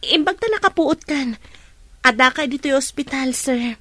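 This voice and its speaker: A friendly young nurse with a teasing streak. Her voice is high and recorded very dry. She speaks radio drama dialogue with an Ilocano Filipino accent. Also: normal speed, bright, forceful tone, no room sound. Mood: gentle.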